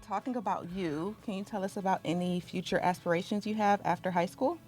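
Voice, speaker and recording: A middle-aged woman, an assistant principal, casually interviewing a high school student. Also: fairly quick, darkish tone, faint music behind.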